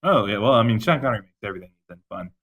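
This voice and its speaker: A man's voice: on the low side, animated, very fast, a bit bright. A man co-hosting a podcast in casual chat, laid-back and teasing, who laughs easily. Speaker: podcast co-host.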